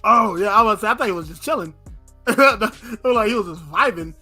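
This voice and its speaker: A woman's voice: medium pitch, expressive, normal speed, bright, forceful tone, husky, faint music behind. A playful woman hosting a podcast, chatting casually and joking as she runs a trivia game. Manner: playful, hums the quiz tune. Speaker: podcast host. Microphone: remote call mic.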